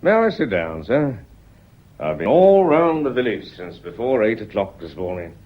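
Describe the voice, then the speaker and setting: An actor playing a shrewd, genial older police inspector in a radio drama, courteous, probing and drily understated, with a husky voice. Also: male voice, on the low side, big pitch swings, moderate pace. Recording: muffled old radio drama recording.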